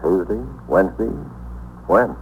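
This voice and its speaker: An actor playing a skeptical police detective, clipped and deadpan, in 1950s radio drama style. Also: male voice, low-pitched, unhurried, a little hiss or hum. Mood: dry, probing. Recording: tinny, band-limited 1950s radio recording.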